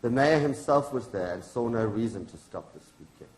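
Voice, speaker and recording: A man's voice: mid-range voice, animated, normal speed, darkish tone, lightly acted. A man reading the part of a grieving, defiant activist's widow in a staged play, solemn and accusing in manner. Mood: grieving, bitter. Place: indoor launch event venue. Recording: echoey room.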